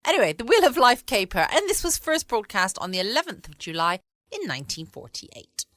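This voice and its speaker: A woman's voice: fairly high pitch, very animated, brisk, a bit bright, no room sound, clean studio sound. An English woman hosting a bedtime podcast from her home, rambling in a chatty, friendly way. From England. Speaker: podcast host. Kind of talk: casual chat.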